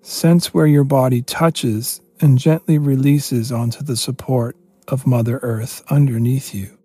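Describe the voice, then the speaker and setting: A man leading a guided meditation as a yoga teacher, giving gentle, spacious instructions with pauses. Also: mid-range voice, fairly even intonation, breathy edge, soft background music.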